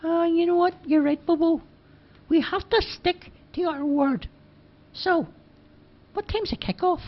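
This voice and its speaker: Casting: a high-pitched, impatient young lad, lilt, muffled lo-fi recording. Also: male voice, fairly even intonation. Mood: eager. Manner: squeaky and hurried. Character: excitable young man, eager, impatient. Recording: dull lo-fi recording.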